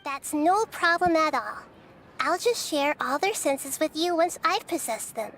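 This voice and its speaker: A woman voicing a calm, wise young girl deity in a video game, speaking in a measured, explanatory way. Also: sits high, soft background music, full character voice. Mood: assured.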